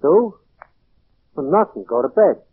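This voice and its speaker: A man's voice: a little high, sing-song, measured pace, breathy edge, exaggerated voice. A man playing a dismissive, brusque, slangy husband in an old-time radio drama. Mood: scornful, dismissive. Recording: muffled phone-like vintage radio recording.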